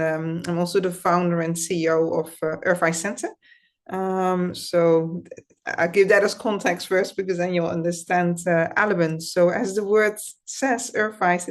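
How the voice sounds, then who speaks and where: Thoughtful Dutch-accented woman, lowish voice. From Netherlands. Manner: thoughtful, reflective, laughs softly. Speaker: guest, founder and system scientist. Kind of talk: conversational interview.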